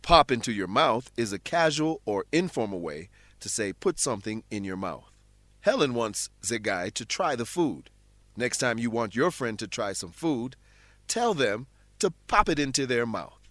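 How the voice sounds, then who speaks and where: A man narrates a scripted English lesson as its teacher. His voice is mid-pitched and he explains each point deliberately. The sound is dry, with no audible room. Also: sing-song, fairly bright tone, no echo.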